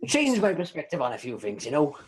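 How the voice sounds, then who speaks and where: A man's voice: fairly high pitch, wildly swooping pitch, a little fast, a little hiss or hum. A man voicing a chatty, cheeky imprisoned informant who rambles and stammers in old-timey folksy phrasing. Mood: rambling.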